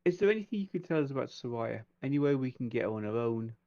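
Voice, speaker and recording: A man playing in a tabletop roleplay game, speaking in character in a polite, measured, conversational way. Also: medium pitch, expressive, a little mellow, no echo, lo-fi sound.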